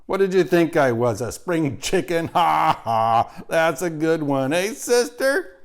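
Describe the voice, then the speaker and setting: A man voicing a big, loud, boastful male pig who cracks jokes in a hearty voice. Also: a little high, very animated, voice pushed up, heavily acted. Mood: boisterous. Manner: loud, slow, jokey.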